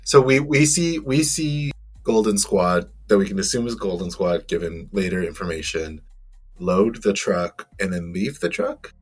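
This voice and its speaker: A man's voice: sits low, expressive, measured pace, breathy edge, faint music behind. A man playing in a casual tabletop game podcast, giving short, wry replies. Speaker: player.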